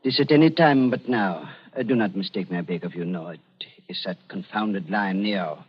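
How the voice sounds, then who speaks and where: A sly, tired older doctor speaks in a low, breathy voice with broken English. It sounds like an old 1940s radio drama, with a dull, muffled sound. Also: male voice, moderate pace. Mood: regretful. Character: weary older male German doctor, sly.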